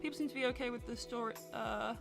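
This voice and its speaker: Higher-pitched woman chatting on a livestream. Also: fairly even intonation, fast, vocal fry, music in the background. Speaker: host of a fan series livestream Q&A. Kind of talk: casual livestream chat, reading and answering viewer messages.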